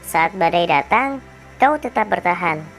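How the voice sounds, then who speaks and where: A male teacher voicing a gentle, wise female rose flower in a falsetto while reading a children's fable. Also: animated, measured pace, faint music behind, full character voice. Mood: kindly explaining. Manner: falsetto, kindly and explaining.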